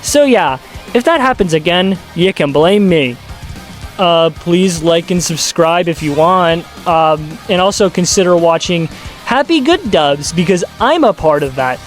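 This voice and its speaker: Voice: medium pitch, animated, measured pace. Casual video essay host, middle register, dry sardonic asides, music underneath. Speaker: host of a video commentary channel. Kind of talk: casual scripted video commentary.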